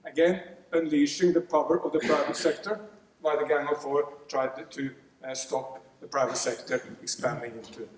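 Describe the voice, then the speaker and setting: A man's voice: on the high side, fairly even intonation, moderate pace. Norwegian-accented man in a reverberant hall. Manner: gesturing broadly, earnest, with frequent fillers and restarts. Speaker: keynote speaker. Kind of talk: conference keynote speech. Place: large lecture hall. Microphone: podium microphone, filmed from afar. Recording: echoey hall from far off.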